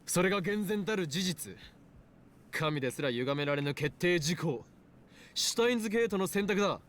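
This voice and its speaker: A man's voice: sits high, lively intonation, fast talker, fairly bright tone, a little hiss or hum. A man playing a grandiose young self-styled mad scientist in an anime visual novel, pompous and theatrical in delivery. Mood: grandiose, insistent. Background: game music.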